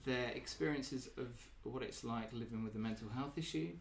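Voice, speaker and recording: A man hosting a group talk in a meeting room, asking gentle questions with many hesitations and ums. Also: medium pitch, moderate pace, gravelly, a little hiss or hum. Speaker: group facilitator and podcast host. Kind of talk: casual group discussion. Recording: roomy echo.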